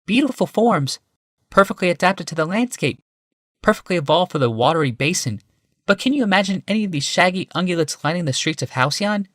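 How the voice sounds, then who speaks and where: A man's voice: high-pitched, animated, brisk, a bit bright, no echo, studio quality, voice pushed up. A man voicing an amused, refined woman architect in a fantasy audiobook. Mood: amused, wistful.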